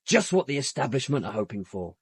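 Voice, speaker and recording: An actor playing a domineering, secretive young British radical in a radio drama, curt, contemptuous and emphatic. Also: male voice, mid-range voice, sing-song, fairly quick, breathy edge, no echo, clean studio sound, full character voice. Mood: scornful.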